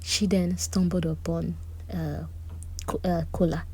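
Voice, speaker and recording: A woman gives a chatty, animated recap of a reality-show drama, with personal asides. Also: lowish voice, measured pace, slightly rough. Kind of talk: casual recap commentary.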